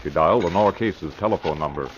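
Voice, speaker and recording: A man's voice: low-pitched, fast talker. A man narrating an old-time radio crime drama in scripted style, on a muffled recording with music behind.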